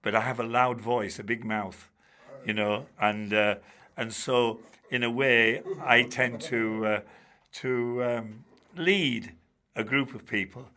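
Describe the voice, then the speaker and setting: A man's voice: mid-range voice, fairly even intonation, slow. An older man, a former teacher telling stories in a chatty interview, full of restarts and asides. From Bradford, England. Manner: chatty, warm-humoured, many restarts and "you know"s. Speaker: guest, charity trustee and former teacher. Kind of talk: relaxed storytelling in an interview.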